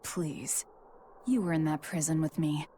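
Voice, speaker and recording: An actress playing a cold, guarded young female demon fighter with a curt, deadpan manner in a video game. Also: deep voice, a little slow, slight background noise. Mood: bitter, resigned. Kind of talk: English video game voice acting.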